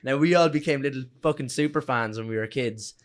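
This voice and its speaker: Young irish guy in a band, casual podcast chat, medium pitch, swears a lot and gets animated. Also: a little fast. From Ireland. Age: young adult. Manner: loose, animated, swears freely. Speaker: band member guest.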